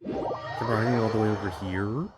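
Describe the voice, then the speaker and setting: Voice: mid-range voice, steady tone, moderate pace, heavy background noise. A game streamer giving casual commentary and reading dialogue aloud while playing.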